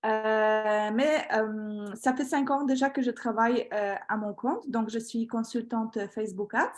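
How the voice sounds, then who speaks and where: A woman's voice: on the high side, fairly even intonation, measured pace. A woman from Poland with a Polish accent, presenting over a video call as a guest expert, speaking with frequent hesitations and fillers. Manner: hesitant, many fillers, laughs lightly. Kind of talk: webinar presentation. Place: home.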